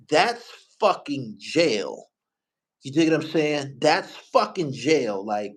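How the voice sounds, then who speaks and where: A middle-aged man hosting a casual talk, profane and emphatic, hammering phrases by repeating them. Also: medium pitch. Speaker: podcast host. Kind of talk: casual street-slang talk.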